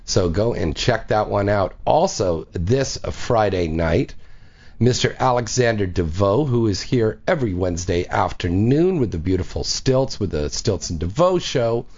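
Male call-in host, low voice with lively swings, breezy plugs, muffled lo-fi audio. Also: a little slow. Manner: breezy, promotional, says "um" and "uh" often. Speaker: radio show host. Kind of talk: casual call-in radio chat. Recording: dull, lo-fi sound.

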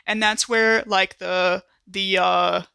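A big, muscular male Marine, played by a woman in a tabletop game. He is easygoing, eager and friendly in an informal way, with a bright, dry-recorded voice. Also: medium pitch, steady tone, slow, gravelly, clean tone, no echo, full character voice. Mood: easygoing, helpful.

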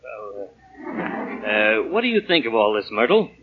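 An actor playing a pompous, flustered, henpecked boss who stammers, in 1940s radio acting. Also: male voice, on the low side, very animated, unhurried, husky, narrow, tinny audio, exaggerated voice. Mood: hesitant. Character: blustering, henpecked male boss who gives in. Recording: 1940 radio broadcast.

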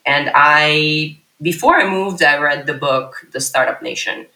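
A woman's voice: low-pitched, a little slow, sharp tone. A woman in her thirties, a startup CEO guest on a podcast, telling her story in long rambling sentences full of fillers and restarts. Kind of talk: casual podcast interview. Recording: echoey room.

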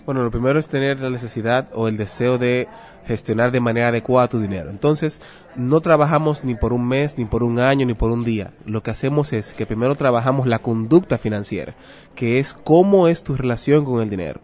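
A man's voice: medium pitch, brisk, darkish tone. Didactic Dominican man explaining patiently. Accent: Dominican Spanish. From Dominican Republic. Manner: didactic, uses rhetorical questions and examples. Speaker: guest, personal finance adviser. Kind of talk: interview, explaining advice.

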